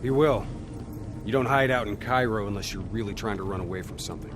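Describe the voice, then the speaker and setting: An actor playing a cynical, intimidating soldier in a video game cutscene, blunt, profane and sardonic. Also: male voice, fairly low pitch, fast, background noise, close to an everyday voice. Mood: wary, low-key. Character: gruff, cynical, intimidating male soldier and interrogator.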